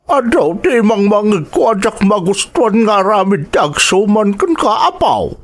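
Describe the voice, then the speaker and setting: High-pitched angry old grandfather, acted by a man. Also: big pitch swings, a little slow, exaggerated voice. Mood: angry scolding. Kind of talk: Ilocano audio drama acting.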